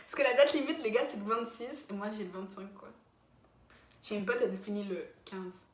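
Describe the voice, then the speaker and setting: A young woman hosting a casual vlog chat, relaxed and playful. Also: medium pitch, normal speed, echoey room. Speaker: vlogger, host of the channel.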